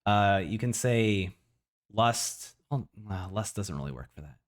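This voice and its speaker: A man in a casual livestream reaction, talking excitably and often repeating words as he thinks out loud. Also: on the low side, a little slow. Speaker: streamer reacting to a video.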